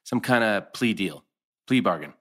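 A man plays a concerned, insistent lawyer in a teen drama. His voice sits fairly low as he presses his case. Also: animated, normal speed, a bit bright. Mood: concerned, persuasive. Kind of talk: table read acting.

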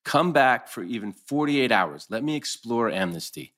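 A man's voice: mid-range voice, very animated, moderate pace, a bit bright. A man acting a concerned, insistent married lawyer at a teen drama table read. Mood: concerned, persuasive.

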